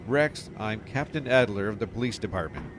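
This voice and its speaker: A man's voice: lowish voice, expressive, brisk, close to an everyday voice. A man playing a sceptical, official older police captain in an audio drama, with clipped questions. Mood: businesslike, baffled. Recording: clearly noisy outdoor street ambience behind.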